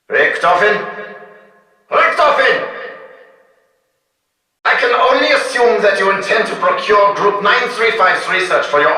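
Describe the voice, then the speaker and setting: High, indignant scientist in echo. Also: male voice, bright, forceful tone, exaggerated voice. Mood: outraged. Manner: formal, pompous, theatrical. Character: stern, indignant German scientist, pompous and suspicious. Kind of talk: dramatic game voice acting. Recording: very echoey, distant hall reverb.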